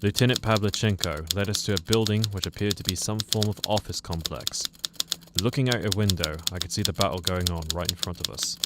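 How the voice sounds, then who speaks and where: A British war reporter, played by a male actor narrates in the first person for a radio play. The voice is low, and the track is very noisy, with typewriter keys clacking. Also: brisk, natural voice. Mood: grave. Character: British war reporter recounting his frightening wartime experience.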